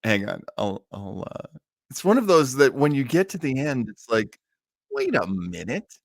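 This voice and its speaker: Gushing man, hugely animated pitch. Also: a little slow, creaky, no echo, studio quality. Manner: gushing, enthusiastic. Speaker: host of an old-radio listening party. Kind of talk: casual excited commentary.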